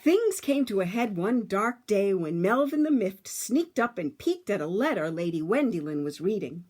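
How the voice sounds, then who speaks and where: A woman reading a picture book aloud to children, with dramatic, emphatic phrasing and drawn-out words. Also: mid-range voice, sing-song, a little mellow.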